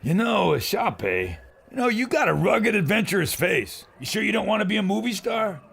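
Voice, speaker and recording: A man playing a brash, boastful movie producer in an old-time radio adventure, raspy and theatrical like a salesman. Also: high-pitched, sing-song, normal speed, fairly bright tone, heavily acted. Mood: wheedling, scheming.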